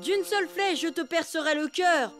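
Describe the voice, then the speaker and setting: A cocky little elephant boy in a cartoon. The voice is high and swaggering, with theatrical boasts, over music. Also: lively intonation, moderate pace. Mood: boastful. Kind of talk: French cartoon dub acting. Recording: music behind the voice.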